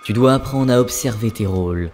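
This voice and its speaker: A man's voice: medium pitch, a little fast, darkish tone, natural voice. A man playing an ancient dwarf priest spirit in a cartoon, a solemn and instructive wise guide. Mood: grave, instructive. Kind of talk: cartoon voice acting, spiritual teaching. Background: background music.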